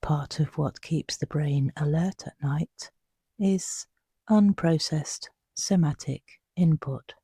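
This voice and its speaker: A meditation guide with a soft, breathy voice, narrating a sleep meditation in a hushed, soothing, unhurried way with long pauses. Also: medium pitch, no room sound, studio quality.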